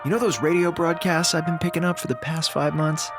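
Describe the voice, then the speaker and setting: A man's voice: fairly high pitch, fairly even intonation, brisk, music in the background, lightly acted. An actor playing a laid-back, wisecracking male communications officer in a space audio drama, talking colloquially and hesitantly. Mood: sheepish.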